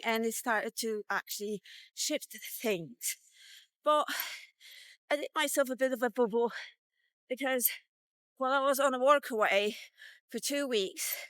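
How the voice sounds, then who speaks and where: Woman, fifties, somewhat high voice, rambling and candid, close dry sound. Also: a bit bright, no echo. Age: 50s. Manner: rambling, candid, with many fillers. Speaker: vlogger. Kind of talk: casual walk-and-talk vlog. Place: outdoors, walking.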